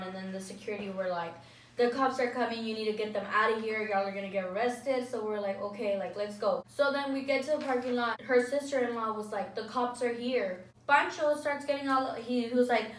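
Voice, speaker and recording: A woman's voice: on the high side, far from the mic. A young woman vlogger telling a story casually, rambling and saying "like" constantly. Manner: rambling, fills speech with "like". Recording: echoey room, voice off-mic.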